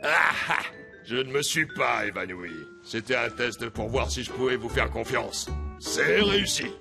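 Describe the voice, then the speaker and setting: Gravelly, pompous cartoon billionaire. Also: male voice, medium pitch, expressive, measured pace, music in the background. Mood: flustered, indignant. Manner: blustering, hesitant, self-important. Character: pompous, fussy, excitable male billionaire tech boss. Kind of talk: animated cartoon dub.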